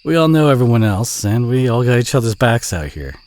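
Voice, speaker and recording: A man playing a friendly, modest country boy with a Southern US accent, chatty and gently teasing in an intimate audio roleplay. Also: mid-range voice, sing-song, fairly quick, natural voice. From southern United States.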